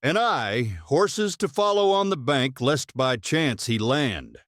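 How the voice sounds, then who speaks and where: Ready-for-action vampire hunter, played by a man, very slow, matter-of-fact, animated pitch, audiobook drama. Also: no echo, clean studio sound. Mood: resolute.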